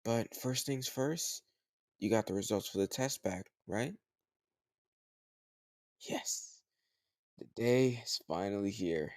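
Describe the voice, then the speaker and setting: A man playing a teasing, slightly older home tutor who gently chides the listener in a conversational, intimate roleplay audio drama. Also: lowish voice, slow pace, long pauses, no room sound, clean studio sound. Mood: playful, sly.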